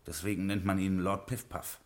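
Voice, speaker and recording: An actor playing a smooth-talking Prohibition gangster boss in a 1950s radio crime play, persuasive and jovial. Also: male voice, low-pitched, steady tone, normal speed, close to an everyday voice.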